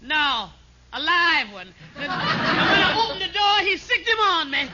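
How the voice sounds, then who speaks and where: A woman playing a loud, gushing older mother-in-law in 1950s radio comedy, folksy and exclamatory. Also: extremely high pitch, expressive, over a noisy crowd. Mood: gleeful. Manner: folksy, exclamatory, drawn-out delivery. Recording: very noisy, dull 1950s radio recording.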